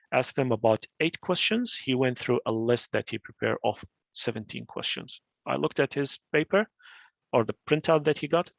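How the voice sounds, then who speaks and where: A man's voice: mid-range voice, gravelly, no echo, dull audio. A man from Iraq presenting career advice in an informal talk, full of fillers and restarts, on a video call. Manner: advisory, with frequent fillers and restarts.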